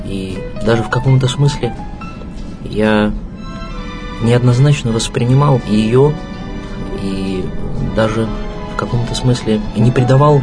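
A man giving a reflective spoken tribute in measured, solemn phrases with pauses. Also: fairly low pitch. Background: music behind the voice.

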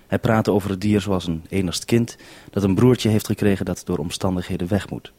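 Husky Dutch male radio host, dry sound. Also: deep voice, steady tone, brisk, mellow, no room sound. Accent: Dutch from the Netherlands. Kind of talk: narrated radio report and interview.

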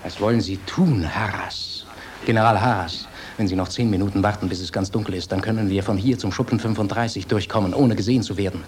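An actor playing an earnest, idealistic officer in a German radio drama, solemn and intense. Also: male voice, on the low side, steady tone, quick pace, a little mellow, slight background noise, close to an everyday voice. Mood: urgent. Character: earnest, idealistic officer, urgent man of conscience.

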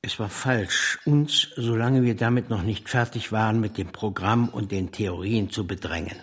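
Radio drama revolutionary, male: argumentative, rhetorical, troubled by doubt, deepish husky voice. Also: normal speed, fairly bright tone. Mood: earnest, troubled. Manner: rhetorical, declamatory. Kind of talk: German radio drama acting.

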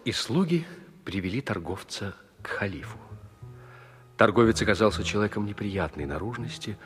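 A man narrating a scripted fairy tale as an expressive storyteller. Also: fairly low pitch, normal speed. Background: music.

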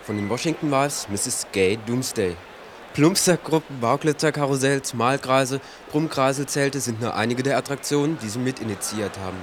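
A man acting a courteous live radio host in a satirical play. His voice is medium-pitched with formal announcer phrasing. Clear outdoor noise sits behind him. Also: moderate pace, close to an everyday voice. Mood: formal, welcoming. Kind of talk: mock live radio presenting.

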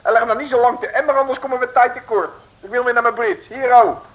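A man's voice: extremely high pitch, fast, a bit bright. A man playing a brash, impatient convict safecracker who talks in chummy, bossy underworld slang in a comic radio serial. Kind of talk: 1950s Dutch comic radio serial acting. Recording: dull, lo-fi old radio recording.

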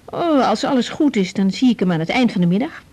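Soft, husky young Dutch woman doctor. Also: fairly high pitch, animated, brisk. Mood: attentive, reassuring. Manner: gentle, attentive, tentative. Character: young female doctor, attentive and patient. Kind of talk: radio drama acting.

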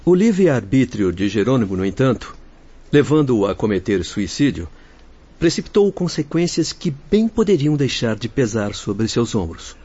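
A man's voice: mid-range voice, big pitch swings, normal speed, dark tone, husky. A Brazilian man playing an earnest young doctor who argues formally and reasonably in a radio drama. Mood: earnest, reasoned. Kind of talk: Portuguese radio drama acting. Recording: dull audio with music bed.

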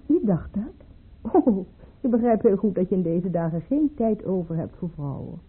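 Husky, sharp-tongued baroness, phone-like sound. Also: female voice, mid-range voice, lively intonation, normal speed. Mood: reproachful. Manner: theatrical, cutting, articulate. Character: proud, bitter, sharp-tongued aristocratic mistress, a widowed baroness. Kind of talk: Dutch radio play acting. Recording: muffled, phone-like old radio play recording.

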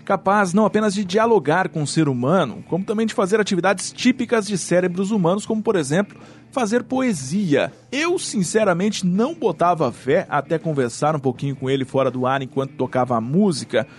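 A man's voice: high voice, expressive, a little fast, a little hiss or hum. A man with a Brazilian Portuguese accent hosting a radio show, delivering a scripted comic bit in an ironic, playful and chatty way.

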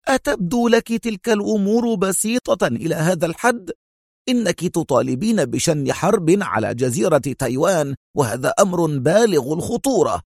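A man's voice: on the high side, very animated, brisk, a little mellow, slightly rough, dry recording, clean studio sound, voice pushed up, heavily acted. A man playing a stern, sceptical consul in a dramatic audio story, with a firm, emphatic and authoritative way of talking. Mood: stern, incredulous.

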